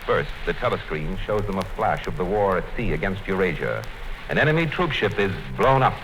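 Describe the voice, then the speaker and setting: A man narrating a radio play in a measured, formal way. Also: sits low, lively intonation, heavy background noise. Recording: old radio broadcast with music under it.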